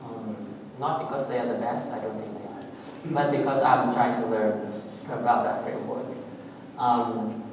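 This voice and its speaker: A man from Vietnam, a native Vietnamese speaker, giving a technical talk at a meetup in a halting way, with many fillers and restarts. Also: medium pitch, steady tone. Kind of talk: technical presentation with live demo. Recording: echoey hall, voice far from mic, audible noise.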